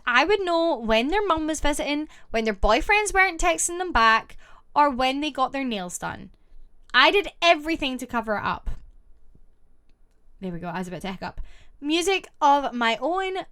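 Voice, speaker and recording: A woman's voice: high voice, bright, forceful tone. A woman streamer reading online stories aloud and reacting to her chat in a casual live-stream. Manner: reads posts aloud and reacts with quick asides to chat.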